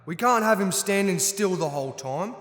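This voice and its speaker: A man's voice: high voice, expressive, normal speed, a bit bright. Man acting a smug gnome king, cavernous echo. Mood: smug, impatient. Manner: sly, mock-innocent, flippant. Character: smug, mocking male gnome king villain. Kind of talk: audio drama acting.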